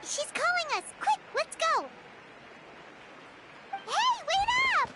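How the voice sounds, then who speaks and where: A woman voicing a tiny, childlike, chatty and nervy fairy companion in an animated game, with a squeaky voice. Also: takes it slowly, slight background noise, heavily acted. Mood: urgent.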